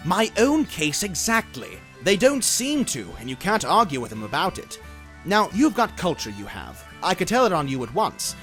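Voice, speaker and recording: A man voicing a lazy, bashful gentleman dragon in a dramatized storybook, rambling on in genteel, eager, old-fashioned talk. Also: high voice, very animated, moderate pace, soft background music. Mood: eager, friendly. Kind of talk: dramatized storybook character acting.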